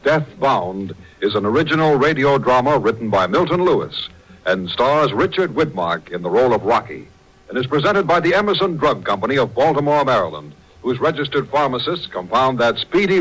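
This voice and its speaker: A man's voice: mid-range voice, animated, normal speed, fairly bright tone, low-quality recording. A man working as a radio announcer, giving a formal, polished scripted read in 1940s style. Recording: old 1940s radio recording with music.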